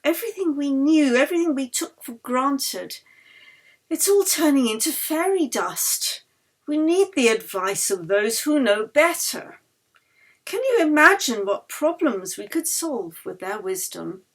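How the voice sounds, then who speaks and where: A woman's voice: high voice, very animated, a little slow, pitched above the performer's own voice. A woman voicing a fervent, grandiose male baron who believes in spirits, in an audiobook. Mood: fervent, pleading. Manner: impassioned, grandiose, persuasive. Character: earnest, fervent Swedish baron devoted to spiritualism. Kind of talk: audiobook character dialogue.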